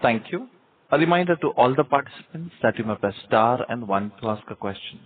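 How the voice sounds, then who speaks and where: A man's voice: mid-range voice, expressive, fairly quick, slightly rough. A man making formal scripted announcements as a conference call operator. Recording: muffled narrow phone line.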